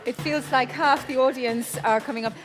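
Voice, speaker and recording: A woman's voice: high voice, steady tone, normal speed, over music, poor audio. A woman hosting a live award ceremony, announcing delegations in an upbeat, chatty way with small laughs. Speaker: ceremony host. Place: large hall with audience. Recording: live event audio with faint crowd and noise.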